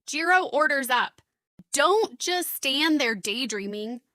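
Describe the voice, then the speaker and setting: A woman playing a blunt, proud older sister who runs a diner, firm and formal, in an animated story. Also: sits high, slow, no room sound, exaggerated voice. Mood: brisk, confident. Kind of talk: animated story character acting.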